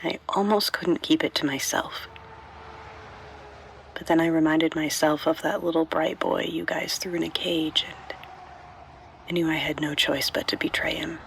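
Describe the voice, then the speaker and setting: A woman's voice: low-pitched, steady tone, normal speed, gravelly, pauses often, fairly bright tone, slight background noise, low-quality recording. A woman playing a bitter, guarded bank robber and mother, speaking conversationally in an audio drama monologue. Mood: regretful, confessional. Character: tough female bank robber, bitter mother, wryly sardonic.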